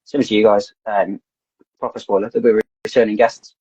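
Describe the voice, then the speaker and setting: A man's voice: lowish voice, little pitch movement, brisk, dark tone, slightly rough, no room sound. A man guest on a podcast, chatting casually and rambling, often repeating words as he gets his thoughts out. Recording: dull lo-fi dry sound.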